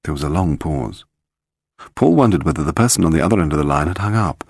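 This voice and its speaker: A man with a low voice narrates a scripted crime story. His intonation is expressive and varied, and the recording is close and dry. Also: fast, gravelly, husky, no room sound. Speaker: audiobook narrator.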